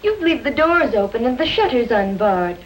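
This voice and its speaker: An actress playing a practical, affectionate wife and mother in a 1950s television drama, with a teasing, gently scolding manner. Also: on the high side, very animated, normal speed. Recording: noisy vintage 1950s TV soundtrack.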